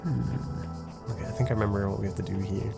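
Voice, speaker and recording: A man streaming a puzzle game. He talks fast and low-key, with plenty of "like" fillers and a somewhat nasal voice, stumbling over words now and then. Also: fairly even intonation, creaky, loud music behind the voice. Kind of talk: casual gaming commentary, reading game text aloud. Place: home room with a desk.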